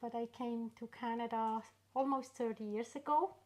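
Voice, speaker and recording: A middle-aged woman from Switzerland with a Swiss accent, an artist being interviewed about her work, speaking unhurriedly with pauses and fillers. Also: on the high side, steady tone. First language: not English. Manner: unhurried, warm storytelling with frequent pauses and fillers. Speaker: artist guest. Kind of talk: casual interview.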